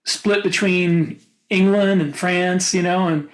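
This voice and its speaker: An American man with a notably high-pitched voice talks as a podcast co-host. He offers opinions in a casual, rambling way, as part of a panel discussion. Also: steady tone, slow.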